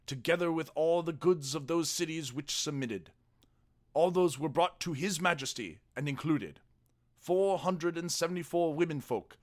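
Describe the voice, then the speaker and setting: A man acts as an ancient royal scribe in a dramatic reading. His voice sits a little high, and he recites the record formally, measuring out each item. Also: lightly acted. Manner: measured, formal, list-like delivery. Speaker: dramatic reader.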